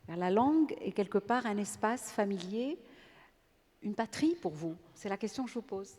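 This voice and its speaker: Moderator, woman; thoughtful and hesitant, mellow voice, reverberant hall. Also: mid-range voice, lively intonation, normal speed. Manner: reflective, hesitant, questioning. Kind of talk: panel discussion. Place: large theatre on stage. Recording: long theatre reverb.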